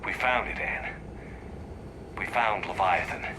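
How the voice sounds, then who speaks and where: A man playing a steady, resolute starship commander in a sci-fi video game, with terse, measured delivery. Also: low voice, background noise. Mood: calm, resolute. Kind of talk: cinematic sci-fi game dialogue.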